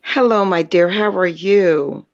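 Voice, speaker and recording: A raspy-voiced man joining a live chat as a guest, playfully teasing a juicy story. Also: sits high, a little slow, highs cut off. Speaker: guest caller. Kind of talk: casual live-stream chat.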